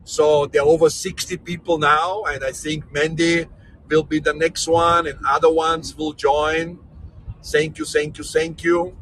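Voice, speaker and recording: Jokey, bright-voiced tour guide man. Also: on the high side, poor audio. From Brazil, raised in Austria. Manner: jokey, energetic, non-native English. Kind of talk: live virtual tour, casual chat with viewers. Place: in a moving vehicle. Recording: vehicle noise in background.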